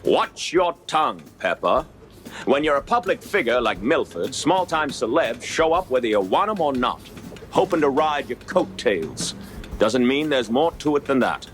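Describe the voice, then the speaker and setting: An actor playing a testy, self-important political insider in a noir cartoon game, brusque and condescending in a 1930s manner. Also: male voice, medium pitch, sing-song, normal speed, close to an everyday voice. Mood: defensive, brusque.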